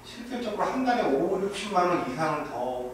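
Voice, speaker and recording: A man's voice: sits high, big pitch swings, fairly quick. A man answering questions as a presenter at a recruitment briefing, explaining informally with examples. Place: classroom. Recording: echoey room, distant mic, background noise.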